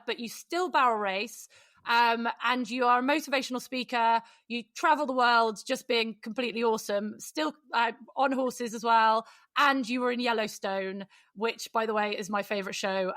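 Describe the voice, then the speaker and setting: A British woman with a fairly high voice hosts a casual podcast. She talks in an effusive, bantering way, recorded close and dry. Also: a bit bright, no echo. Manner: effusive, jokey. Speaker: podcast host.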